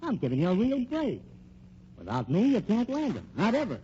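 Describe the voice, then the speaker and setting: A man's voice: high-pitched, huge pitch swings, moderate pace, warm tone. Slick, swooping tough-guy private eye. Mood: pushy, scheming. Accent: American. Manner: wisecracking, pushy, tough-guy slang. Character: slick, menacing male private detective and con man. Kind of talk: old-time radio crime drama acting. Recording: dull old radio recording, faint noise.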